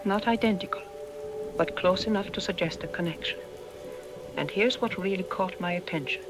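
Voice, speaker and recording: Man playing a high-pitched, grave expert doctor. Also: noisy background, natural voice. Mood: grave, uneasy. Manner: deliberate, ominous, with dramatic pauses. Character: grave, troubled archaeology expert doctor on the news. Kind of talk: apocalyptic audio drama news interview.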